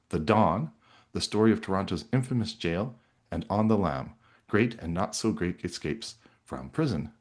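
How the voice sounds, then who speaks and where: A man's voice: low voice, a little mellow. A man hosting a podcast interview, moving between introductions and conversational questions.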